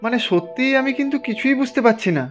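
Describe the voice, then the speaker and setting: A young, educated village farmer in a cartoon. He is plucky and earnest, speaking quickly in exclamations. Soft music sits underneath. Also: very high voice, animated. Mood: puzzled. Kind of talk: Bengali cartoon voice acting.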